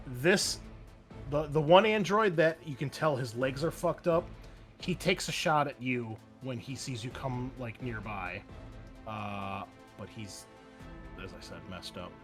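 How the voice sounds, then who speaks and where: A man's voice: mid-range voice, slow pace, a bit bright. Casual male game master over music. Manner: casual, profane, dramatic when describing action. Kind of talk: improvised tabletop game narration and table chat.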